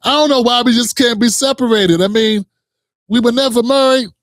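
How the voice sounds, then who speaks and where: A man's voice: extremely high pitch, moderate pace, a bit bright, dry recording, studio quality. A man hosting a reaction commentary, talking in a heated, emphatic way with frequent profanity and direct address to viewers. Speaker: commentary host.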